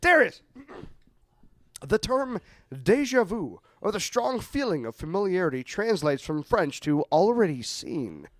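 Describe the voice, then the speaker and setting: A man's voice: high-pitched, very animated, a little slow, no echo. A man hosting a casual podcast, reading quiz facts with mock drama and joking with his co-hosts. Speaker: podcast host.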